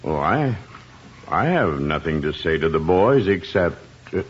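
An actor playing a slow, good-natured small-town police chief in a 1950s radio comedy. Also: male voice, low voice, sing-song, breathy edge, full character voice. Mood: easygoing. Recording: muffled old radio recording.

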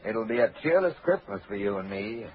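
An actor playing a suave, pompous swindler boss in a 1940s radio drama, florid, courtly and imperious. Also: male voice, on the low side, expressive, a little fast, natural voice. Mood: menacing. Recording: dull, hissy 1940s radio recording.

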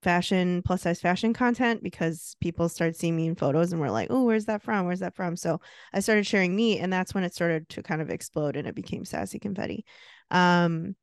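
A woman's voice: on the low side, expressive, a little fast, clean tone, no room sound, studio quality. A woman in her late thirties co-hosting a podcast, chatting casually and laughing often. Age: 30s. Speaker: podcast co-host. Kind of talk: casual chat.

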